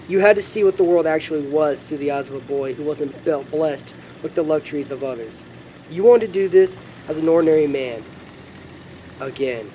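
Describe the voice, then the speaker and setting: A man plays a heartbroken God speaking as a father. His voice sits a little high and is reflective and sorrowful. There is some noise behind this outdoor film dialogue. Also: warm tone, close to an everyday voice, low-quality recording.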